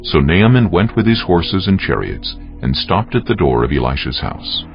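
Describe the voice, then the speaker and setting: Male scripture narrator, extremely low, lively intonation, scripted, slightly dull sound. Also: moderate pace. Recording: dull lo-fi, music underneath.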